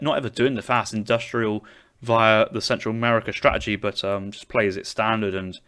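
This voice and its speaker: A man's voice: fairly low pitch, fairly bright tone. A young man casting a strategy game tournament, breaking down units and matchups as he thinks aloud. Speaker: esports caster.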